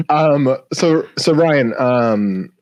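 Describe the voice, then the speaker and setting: A male podcast host with an American Midwestern accent talks casually and informally, with swearing mixed in. His pitch sits in the middle for a man. The sound is dry, with very little room in it. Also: extremely slow, a little mellow, no room sound, lo-fi sound. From Illinois, US. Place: basement room.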